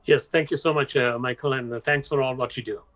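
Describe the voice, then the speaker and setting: Halting middle-pitched man asking on a call. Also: steady tone, fairly bright tone. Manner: halting, roundabout, with long hesitations. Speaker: participant asking a question. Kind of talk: informal spoken question on a call. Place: plain room at home, indoors. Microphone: video call.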